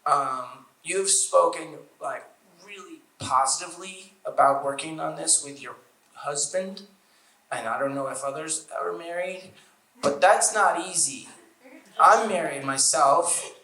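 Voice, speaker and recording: A man's voice: fairly high pitch, unhurried, sharp tone, a little hiss or hum. A man interviewing an author onstage, asking friendly, hesitant questions. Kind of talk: casual onstage conversation. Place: festival event room. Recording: echoey room.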